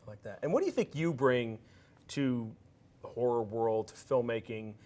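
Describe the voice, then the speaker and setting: A man's voice: mid-range voice, lively intonation, moderate pace, darkish tone. A man interviewing a guest, chatty and self-deprecating, stumbling over the openings of his questions.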